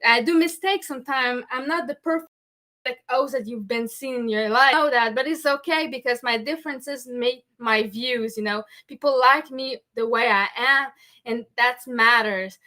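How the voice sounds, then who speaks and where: A woman's voice: fairly high pitch, normal speed, pushed and bright. A French-Canadian woman from Montreal, a native French speaker hosting a show, talking earnestly in English with frequent "okay?" asides. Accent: Québécois French. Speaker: podcast host. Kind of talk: candid personal talk.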